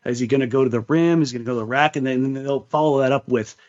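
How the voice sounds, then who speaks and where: A man's voice: medium pitch, steady tone, racing, a little mellow, dull audio. An American man talking sports casually as a podcast co-host, emphatic and opinionated, repeating words for stress.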